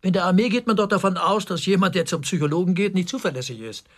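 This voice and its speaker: An actor playing a defensive, tormented army officer under interrogation in a German radio crime drama, with a husky, gravelly voice. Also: male voice, high voice, fast talker, fairly bright tone. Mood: bitter, troubled. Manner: agitated, swinging between indignant outbursts and subdued confession. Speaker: suspect, military governor.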